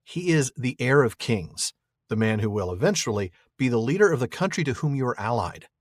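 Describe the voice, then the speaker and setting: A man's voice: mid-range voice, sing-song, fairly quick, no room sound. A man co-hosting a podcast, reading listener mail and reasoning aloud in an easygoing way, chuckling often. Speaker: podcast co-host.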